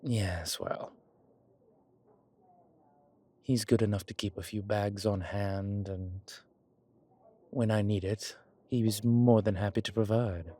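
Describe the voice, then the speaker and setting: A man playing a courteous, centuries-old vampire in an intimate audio roleplay, with refined, measured speech, hesitant pauses and formal, old-fashioned wording. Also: lowish voice, steady tone, dark tone. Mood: gentle, matter-of-fact.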